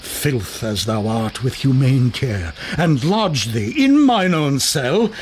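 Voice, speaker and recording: A man's voice: medium pitch, very animated, a little hiss or hum. A man playing a stern, wrathful magician master, declaiming Shakespearean verse in a threatening, commanding way. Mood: indignant. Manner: declamatory, measured.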